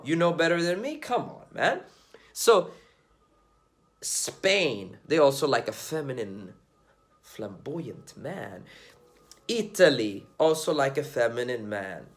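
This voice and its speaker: A young man from Sweden, talking straight to his viewers in a loose, slangy way. His pitch rises and falls a lot, and it sits a little high for a man. He is blunt and swears freely. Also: huge pitch swings, unhurried, fairly bright tone. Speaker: dating commentator vlogger. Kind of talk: casual monologue to camera.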